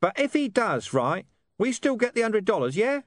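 A talking stray dog for a storybook, high-pitched and very animated. The mutt is cheeky, sardonic and out for money, talking in dismissive street slang. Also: male voice, normal speed, no room sound, studio quality. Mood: mercenary, insistent.

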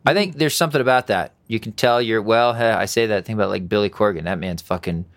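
A man hosting a podcast in a casual chat, animated, swearing casually and losing track of names. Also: fast talker, dry recording. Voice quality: fairly high-pitched for his height. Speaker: podcast host.

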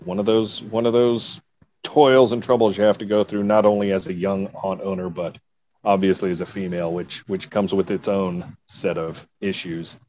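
A man's voice: deep voice, level tone, normal speed, a little mellow, a little hiss or hum, rough recording. A man hosting a casual interview chat, asking long rambling questions. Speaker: podcast host.

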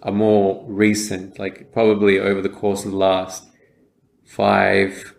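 Young male podcast co-host, lowish pitch, thoughtful and halting delivery. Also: completely flat delivery, creaky, slight background noise. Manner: reflective, many fillers and restarts. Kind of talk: casual chat.

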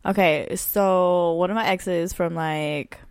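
Lower-voiced young woman telling stories. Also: slow, clear, resonant tone, no echo, clean studio sound. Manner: animated, lots of "like", acts out quotes. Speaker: podcast co-host. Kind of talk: casual storytelling chat.

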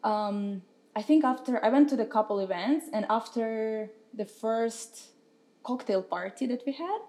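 A woman's voice: on the high side, a little slow, mellow. A woman from Russia with a Russian accent, speaking openly and expressively as she tells her story in a casual podcast interview. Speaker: podcast guest.

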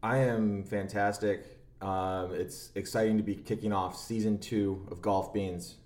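A man co-hosting a podcast in casual chat, laid-back and a little halting, recorded in a roomy, echoey space. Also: lowish voice, fairly even intonation, darkish tone. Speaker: podcast co-host. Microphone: own podcast microphone.

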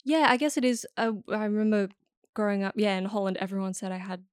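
A woman's voice: medium pitch, quick pace, clean tone, no echo. Young woman guest, early 20s, chatty with lots of ums and giggles, dry close mic. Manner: friendly, giggly, many fillers. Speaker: musician guest. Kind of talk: casual interview chat.

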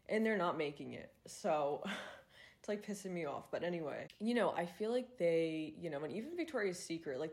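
A woman's voice: sits low, darkish tone. A woman in her twenties hosting a podcast, chatting casually with lots of fillers and swearing, sounding congested and nasal. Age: 20s. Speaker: podcast host. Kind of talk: casual solo podcast chat.

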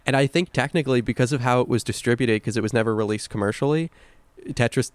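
A man's voice: mid-range voice, fast, no room sound. A man co-hosting a podcast, chatting casually about video games and swearing freely. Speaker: podcast co-host.

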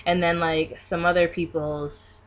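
A woman's voice: lowish voice, level tone, measured pace, rough recording. A woman podcast guest chatting casually and informally, thinking aloud as she goes. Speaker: podcast guest, beauty business owner. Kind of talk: casual conversational chat.

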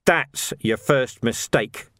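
A man voicing a gruff, wily, middle-aged police sergeant in an audiobook, blunt and taunting with his recruits. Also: medium pitch, takes it slowly, a bit bright, dry recording, studio quality.

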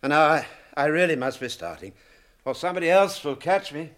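An actor playing an elderly, whimsical and fussy hobbit with a British accent in a radio drama. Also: male voice, on the high side, animated, brisk, fairly bright tone. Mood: cheerful, flustered. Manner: chatty, whimsical, old-fashioned exclamations.

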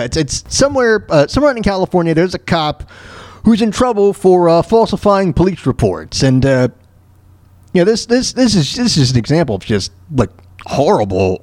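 An American man with a fairly high voice that swings around a lot, recorded very dry. He hosts a casual talk show, rambling through commentary and often stammering and repeating himself as he goes. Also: darkish tone, dry recording. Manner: halting, stammering, repeats words and phrases. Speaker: solo host of a talk show.

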